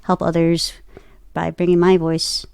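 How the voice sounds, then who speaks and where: A woman's voice: lowish voice, monotone, unhurried, creaky, darkish tone, clear, resonant tone, dry recording, studio quality. A woman giving a casual interview as a guest, telling personal stories and often quoting her own thoughts as she goes. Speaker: voice actor and podcaster, interview guest.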